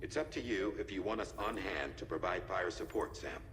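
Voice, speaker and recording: A calm male adviser in a game cutscene, explaining in measured phrases. His voice is fairly low. It rings with long reverb over faint music. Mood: composed. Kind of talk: video game cutscene acting.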